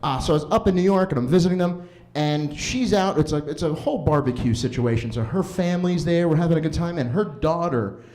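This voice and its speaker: A man in his late thirties doing a stand-up set at an open mic in a basement, telling stories animatedly and jumping into high voices for quoted lines. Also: brisk, a little mellow. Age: 30s. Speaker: stand-up comedian. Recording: roomy basement echo.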